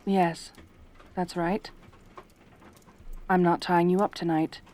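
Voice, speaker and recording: A woman's voice: on the low side, flat delivery, slow, a little mellow. A woman playing a lonely, possessive centaur girl in an intimate ASMR roleplay, tender and anxious, with a hesitant, pleading manner. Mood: hesitant, gentle.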